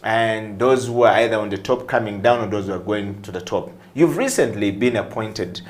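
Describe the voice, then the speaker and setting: A man hosting an interview, phrasing his questions in a deliberate, emphatic way. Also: lowish voice. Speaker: talk show host. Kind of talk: conversational interviewing.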